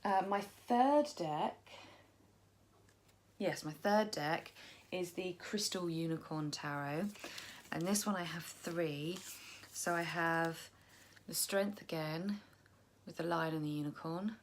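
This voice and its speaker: A young woman chatting casually to camera about her tarot decks, tired and croaky, rambling and poking fun at herself. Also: lowish voice, takes it slowly, pauses often. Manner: chatty, self-deprecating, rambling. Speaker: tarot vlogger.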